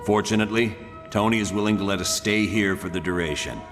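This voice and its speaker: A man's voice: lowish voice, fast talker, lightly acted. A man playing a serious, matter-of-fact colonel who leads a team in a video game cutscene. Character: male spy-agency colonel, gruff, serious team leader. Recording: long reverb, music behind.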